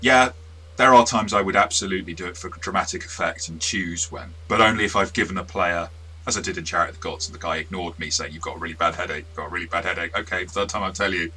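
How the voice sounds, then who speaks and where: A man's voice: sits low, steady tone, fast, sharp tone, rough recording. A man in a casual review chat as host and game master, explaining things in an informal, discursive way.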